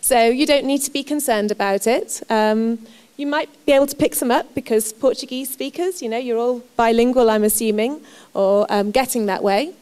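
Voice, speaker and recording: A woman's voice: fairly high pitch, moderate pace, a little mellow, clean tone. A woman from Wales giving a chatty, reassuring presentation about her university to prospective students, with frequent ums. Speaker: university representative. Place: lecture or seminar room.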